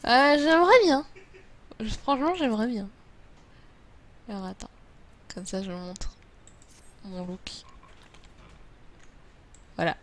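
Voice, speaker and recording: A woman's voice: mid-range voice, takes it slowly, long pauses, a little hiss or hum. A French woman chatting casually and playfully as she streams an online game.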